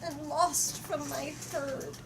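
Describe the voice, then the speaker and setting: A woman voicing a young princess girl, high and pleading, recorded with noticeable room noise. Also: steady tone, slow. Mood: weary.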